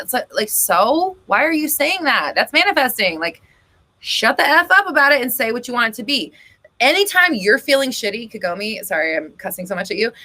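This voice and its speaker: A woman hosting a live chat Q&A, reading viewers' comments aloud and answering them, chatty and blunt, swearing freely and often breaking into gasps and high laughs. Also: sharp tone. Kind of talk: casual live-stream Q&A.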